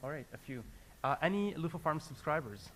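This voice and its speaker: A man's voice: fairly high pitch, animated, brisk, fairly bright tone, low-quality recording. A man who grew up in Lebanon, a company founder giving a business presentation to an audience, earnest and hesitant, with many fillers and restarts.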